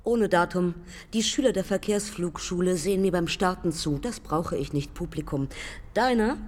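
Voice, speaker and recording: A woman's voice: lowish voice, moderate pace, darkish tone, in a large hall, lightly acted. An actress playing a self-possessed young woman aviator in a German radio drama, speaking in terse, clipped fragments. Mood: terse, proud. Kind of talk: German public radio drama, lyrical dialogue.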